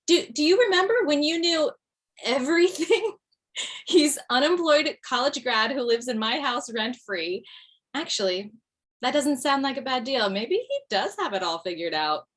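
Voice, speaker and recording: A woman's voice: sits high, lively intonation, moderate pace, a bit bright. A woman playing a self-deprecating mother returning to stand-up, delivering wry and hesitant lines in a table read. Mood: wry, candid. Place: video call from home.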